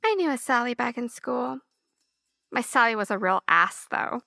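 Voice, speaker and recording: A woman's voice: fairly high pitch, lively intonation, normal speed, gravelly, pushed and bright, no room sound, studio quality, exaggerated voice. A woman playing a brash, foul-mouthed young hitchhiker, loose, tipsy and teasing, in an audio drama. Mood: playful. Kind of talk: full-cast audio drama acting.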